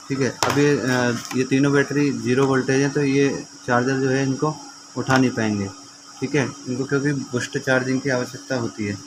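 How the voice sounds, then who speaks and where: A man gives an informal hands-on repair demonstration, explaining step by step and often checking in with viewers. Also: mid-range voice. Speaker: repair tutorial presenter. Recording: clearly noisy amateur recording with ambience.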